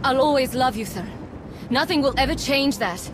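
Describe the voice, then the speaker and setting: An actress playing a steely alliance commander and wounded lover in a dramatic video game, earnest and measured. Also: female voice, high-pitched, noisy background, lightly acted. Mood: heartfelt.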